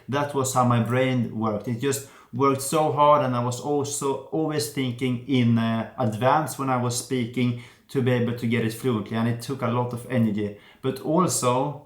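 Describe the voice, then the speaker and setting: Young man with a stammer. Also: medium pitch. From Sweden. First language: Swedish. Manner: stammers, with repeated sounds and restarts. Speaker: vlogger sharing his stutter. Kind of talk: personal reflective talk to camera. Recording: roomy echo.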